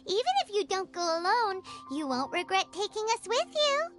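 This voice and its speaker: A woman voicing a small, hyperactive female fairy companion in a video game, bouncy and excited. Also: moderate pace, exaggerated voice. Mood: pushy. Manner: excited, bouncy, high-pitched. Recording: game audio with loud background music.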